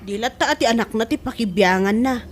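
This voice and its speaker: A woman's voice: fairly high pitch, fairly quick, lightly acted. An actress playing a village mother in a comedy radio drama, speaking with an Ilocano accent. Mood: resigned. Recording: noisy background.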